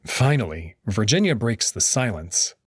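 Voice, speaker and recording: A man narrating a story in the first person in an expressive storytelling manner. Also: lowish voice, measured pace, husky, no echo, studio quality. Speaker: audio drama narrator.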